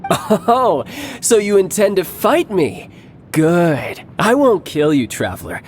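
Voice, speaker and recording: A man's voice: high voice, sing-song, slow, slight background noise, full character voice. An actor playing a cocky young male villain in a video game, smug, taunting and theatrical, eager for battle. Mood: taunting, thrilled. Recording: faint music behind the voice.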